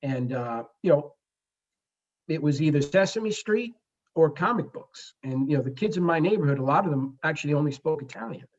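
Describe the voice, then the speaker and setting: The voice is a man's in his forties, pitched a little high, on a slightly muffled, lo-fi recording. He grew up in the Bronx with Spanish as his first language. He talks like an interview guest, earnest and halting, often pausing mid-phrase to find the next word. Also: husky. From the Bronx, New York, United States. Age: 40s. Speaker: guest, comic book creator. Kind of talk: conversational interview.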